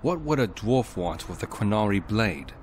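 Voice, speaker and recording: An actor playing a calm, courteous male hero of a fantasy video game, direct and composed. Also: fairly low pitch, moderate pace, slight background noise. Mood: firm. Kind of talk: voiced video game protagonist.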